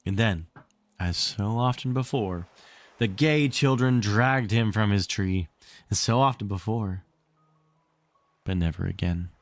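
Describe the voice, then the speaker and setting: A man's voice: on the low side, a little slow, long pauses, dry recording. A man reading a storybook aloud as a narrator. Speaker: streamer reading a book aloud.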